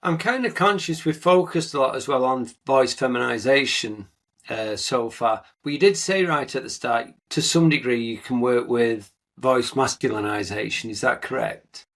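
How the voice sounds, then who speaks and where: A man's voice: medium pitch, moderate pace, fairly bright tone. A man hosting a podcast interview in a conversational way, reflecting and putting questions to a guest.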